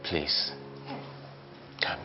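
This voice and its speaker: An actor playing a desperate husband who shouts and pleads with his wife. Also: very high voice, big pitch swings, quick pace, roomy sound, lo-fi sound, noisy background. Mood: begging. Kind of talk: drama acting.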